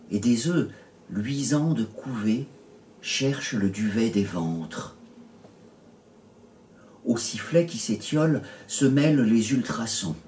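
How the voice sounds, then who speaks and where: A man recites poetry in a fairly low voice and at a very slow pace. He measures out short phrases with pauses between them. The recording is distant and roomy, with echo around the voice. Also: a little mellow. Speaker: poet reading own poems. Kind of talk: slow literary poetry reading. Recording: roomy echo, distant mic, faint noise.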